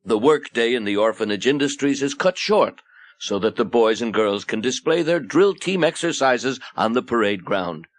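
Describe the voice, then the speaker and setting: American man, scripted storybook narration, mock-earnest, theatrical, lively melodic delivery, mid-range pitch. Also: normal speed, no room sound. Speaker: audiobook narrator.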